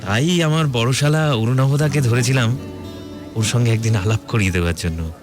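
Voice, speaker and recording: Actor as a polite, earnest man, medium pitch. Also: moderate pace, music in the background, close to an everyday voice. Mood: enthusiastic. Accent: Kolkata Bengali. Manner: deferential, hesitant, earnest. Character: polite, eager admirer, earnest loyal friend. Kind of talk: Bengali audio drama acting.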